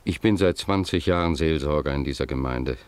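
Earnest solemn village priest in a radio play, really deep voice, dry recording, formal and measured. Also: male voice, clean tone, no echo. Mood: solemn, reproachful. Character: grave, principled village pastor, earnest and steadfast. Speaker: radio drama actor. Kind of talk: German radio play acting.

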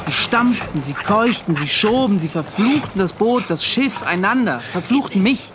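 An actor playing a tormented, defensive ship's officer, recounting in an agitated, pleading and halting way in a radio drama. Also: male voice, high-pitched, lively intonation, noisy background. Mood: urgent recounting. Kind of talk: radio drama acting, confessional monologue.

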